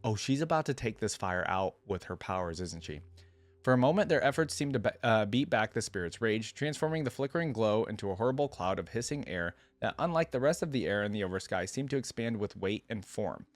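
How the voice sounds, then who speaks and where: A man's voice: fairly low pitch, animated, a little fast, no room sound. A man hosting a reaction video, reading a story aloud and breaking off into casual, musing commentary with hesitant asides.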